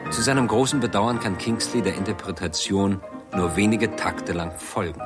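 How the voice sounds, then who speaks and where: A man giving sober, measured scripted narration as the narrator of a 1960s German radio drama. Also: lowish voice, over loud music, close to an everyday voice.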